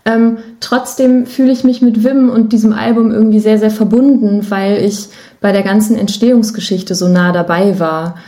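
A woman's voice: medium pitch, fairly even intonation, normal speed, warm tone. A woman speaking as a guest musician in a casual podcast interview, thoughtfully searching for her words.

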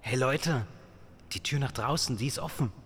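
A man's voice: mid-range voice, moderate pace, a bit bright, a little hiss or hum, natural voice. A man playing a casual member of a friend group in a Halloween audio play, speaking conversationally. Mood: upbeat.

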